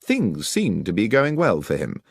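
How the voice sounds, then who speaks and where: A man voicing a brisk, assured detective who explains his deductions precisely and with authority, as audiobook dialogue. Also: fairly low pitch, huge pitch swings, gravelly, husky, no room sound, clean studio sound, full character voice. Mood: assured, explanatory.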